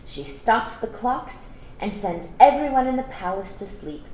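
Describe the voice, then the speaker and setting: A woman reading a children's story aloud expressively, pausing to explain things to young listeners. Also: on the high side, very animated, mellow. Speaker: storytime reader. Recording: echoey room with faint background noise.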